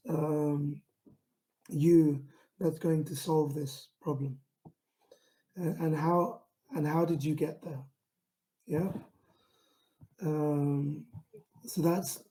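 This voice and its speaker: London guy talking straight to camera, slow, very dark mellow tone, lots of ums. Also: on the high side, rough recording. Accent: London English. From England. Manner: self-described monotone, many fillers and restarts. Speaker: founder giving a pitching talk. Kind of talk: online talk to camera.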